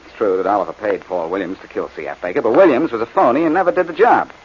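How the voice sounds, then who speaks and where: An actor playing a sharp, confident amateur detective in a 1940s radio drama, brisk and wry. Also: male voice, fairly low pitch, breathy edge. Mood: assured, explaining. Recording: dull, noisy 1940s radio recording.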